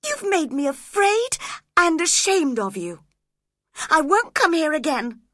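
A woman voicing a polite, gentle young gentleman. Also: high voice, sing-song, a little slow, no room sound, clean studio sound, pitched above the performer's own voice, full character voice. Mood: wounded. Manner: courteous, hurt.

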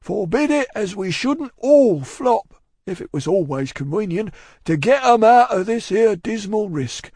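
A man's voice: high-pitched, sing-song, unhurried, darkish tone, breathy edge, dry recording, clean studio sound, voice pushed up, exaggerated voice. A man voicing a solemn, repentant working-class London man in a storybook, with a Cockney accent and a ponderous, preachy, emphatic way of talking. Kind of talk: audiobook character acting.

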